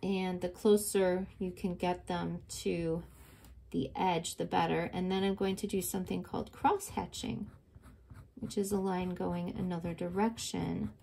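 A woman's voice: on the low side, fairly even intonation, unhurried, gravelly, dark tone, slight background noise. A middle-aged woman teaching a step-by-step drawing lesson to children, patient and encouraging, recorded at her desk on the camera mic. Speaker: art teacher.

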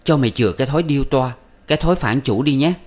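A man's voice: mid-range voice, normal speed, fairly bright tone, voice pushed up, natural voice. A man voicing an angry, proud young wife who scolds sharply. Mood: angry, indignant.

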